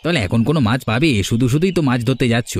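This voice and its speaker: A man's voice: medium pitch, racing, a little mellow, dry recording, lightly acted. A man playing a casual, nosy village man in a cartoon story, offhand and full of questions. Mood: inquisitive. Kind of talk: Bengali cartoon story dialogue.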